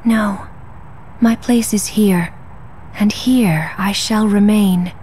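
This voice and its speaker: Woman as a calm regal goddess in a game, soft mellow voice, really slow and stately. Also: medium pitch, slight background noise, close to an everyday voice. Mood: calm resolve. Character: serene, gentle goddess of harmony, regal and resolute. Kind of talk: dramatic video game cutscene acting.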